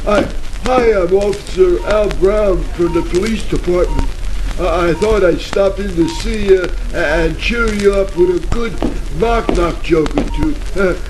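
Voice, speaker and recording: A man's voice: sits high, measured pace. A man playing an eager, bumbling police officer with a hesitant, stammering delivery in a comic old-time radio play. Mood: awkward, cheerful. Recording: old-radio crackle, music and rain behind.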